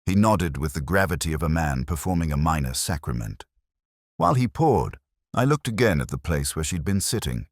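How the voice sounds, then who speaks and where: A man playing a brooding, erudite middle-aged detective, narrating an audio drama in measured, reflective, literary phrases. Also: very deep voice, sing-song, no echo, clean studio sound, exaggerated voice. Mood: brooding, wistful. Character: brooding, erudite male English police detective, melancholy, observant.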